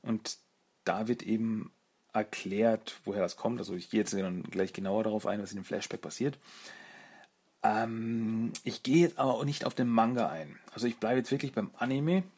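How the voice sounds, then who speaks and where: A man's voice: fairly low pitch, moderate pace, a little mellow, husky. A man hosting a fan review show, talking casually and self-deprecatingly, thinking aloud as he goes. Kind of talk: casual solo review monologue.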